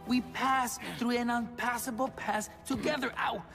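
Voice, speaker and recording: A lovestruck young prince from an animated film. He declaims with theatrical earnestness and romance. His voice rings in a long reverb, with prominent music behind. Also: very high-pitched, moderate pace. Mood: excited, boastful.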